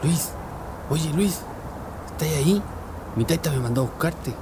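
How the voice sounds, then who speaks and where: Man playing anxious brother, Chilean accent, hushed, colloquial, radio drama, noisy ambience. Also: on the high side, animated, unhurried. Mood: worried. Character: anxious brother sent to fetch his sibling. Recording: clear background noise, street ambience.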